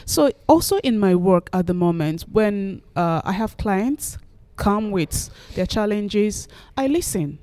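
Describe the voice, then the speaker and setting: A woman's voice: mid-range voice, expressive, a little slow, hardly any pauses, dark tone, no room sound. A woman giving earnest, explanatory answers as a guest in a conversational interview. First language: not Finnish, learned it as an adult. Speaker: guest, novelist.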